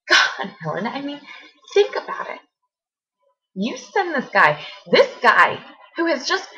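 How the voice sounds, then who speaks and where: A woman acting a hurt, accusing young woman confronting her former best friend in a halting, emotional dramatic monologue. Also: mid-range voice, dull audio, natural voice. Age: young adult.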